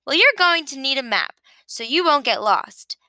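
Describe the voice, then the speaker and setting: Woman acting a cocksure girl sheep, bubbly, high swooping pitch, breezy exclamations, storybook read-aloud. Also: lively intonation, normal speed, sharp tone, no echo, studio quality, heavily acted. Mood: breezy, bossy. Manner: breezy, self-assured, exclaiming. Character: bubbly, cocksure female sheep who loves dancing. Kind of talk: children's picture book read-aloud.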